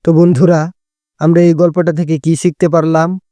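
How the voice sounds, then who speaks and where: A man's voice: fairly high pitch, flat delivery, moderate pace, mellow, no echo. A man narrating a scripted cartoon fable as a storyteller.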